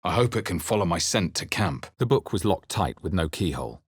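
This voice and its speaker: A fantasy game hero with a low, husky voice. He is earnest and wry, telling his quest with measured, brooding drama in a close, dry sound. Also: lively intonation, no room sound. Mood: wry, musing. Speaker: video game player-character voice actor. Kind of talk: dramatic game narration and short character barks.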